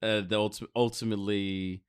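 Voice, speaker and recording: A man's voice: lowish voice, flat delivery, moderate pace, clear, resonant tone. An American man chatting casually as a podcast co-host, talking in a slangy, profane, emphatic way. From United States.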